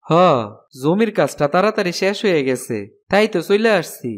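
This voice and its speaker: A man's voice: high voice, expressive, moderate pace. A man playing a matter-of-fact young village husband in a cartoon. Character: plain-spoken farmer's son, a young husband. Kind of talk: cartoon fairy tale dialogue. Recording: background music under the voice.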